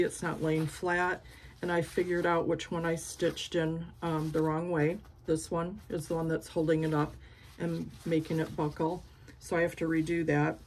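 A woman in her sixties with a low voice talks through her quilting projects in a rambling, chatty way, full of ums and restarts. She is filmed on a phone held at arm's length in her home craft studio. Faint room noise sits behind her voice. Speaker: quilting vlogger. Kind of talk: casual show-and-tell chat. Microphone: phone camera at arm's length.

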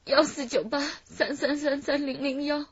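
A woman's voice: high voice, fairly even intonation, moderate pace, no echo, highs cut off, lightly acted. An actress playing a terrified, pleading female kidnap hostage in a radio crime drama, tearful and stammering. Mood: frightened, compliant.

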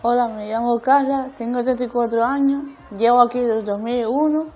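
A woman's voice: fairly high pitch, fairly even intonation, normal speed, mellow, soft background music. A woman giving a simple self-introduction to camera. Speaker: occupational centre member.

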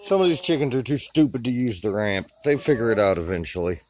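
A man's voice: mid-range voice, very animated, fast talker, a little hiss or hum. A man narrating a casual backyard vlog while filming his chickens outside, muttering wry remarks at the flock. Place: outdoors in a rural backyard with chicken coops. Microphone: handheld camera. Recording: dull lo-fi camera audio with clucking behind.

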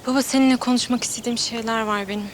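A woman's voice: a little high, fairly even intonation, rapid-fire, a little hiss or hum, close to an everyday voice. An actress playing an earnest, vulnerable young woman grieving her mother in a naturalistic TV drama. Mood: pained, pleading. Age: young adult. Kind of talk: TV drama acting, naturalistic dialogue. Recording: prominent music under TV drama dialogue.

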